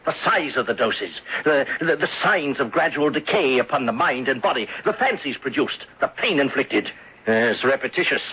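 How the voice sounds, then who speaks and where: A man's voice: medium pitch, lively intonation, sharp tone, husky, exaggerated voice. An actor playing a bitter, vengeful bereaved man who theatrically denounces a murderer in an old-time radio drama. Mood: scornful, triumphant. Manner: theatrical, deliberate accusatory speeches. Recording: dull lo-fi vintage radio recording.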